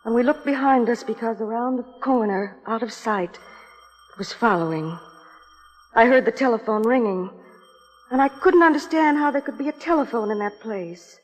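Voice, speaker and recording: A woman's voice: on the high side, normal speed, natural voice. An actress playing an anxious, exhausted young woman, narrating her nightmare dramatically in 1950s suspense radio style. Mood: haunted, dread. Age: young adult. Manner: dramatic, dreamy first-person narration. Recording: 1950s radio broadcast, long reverb, music behind.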